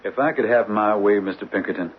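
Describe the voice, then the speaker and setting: An actor playing a dogged, hot-headed, vengeful young detective in a radio drama, speaking bluntly and folksily with small stammers. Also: male voice, fairly low pitch, quick pace, slight background noise, close to an everyday voice. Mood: insistent. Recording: muffled old radio broadcast.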